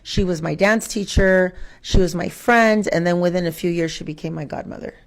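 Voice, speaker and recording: Reflective, expressive woman guest in conversation. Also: lowish voice, fairly quick. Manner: reflective, expressive, quotes her own thoughts and asks rhetorical questions. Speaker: interview guest, schoolteacher. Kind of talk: conversational interview answers.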